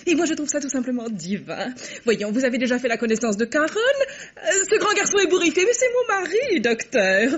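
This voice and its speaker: A woman's voice: high voice, sing-song, fairly quick. An actress playing a theatrical, effusive middle-aged society mother in an old French radio drama. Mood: gushing. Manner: effusive, affected, exclaiming. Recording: dull vintage radio recording.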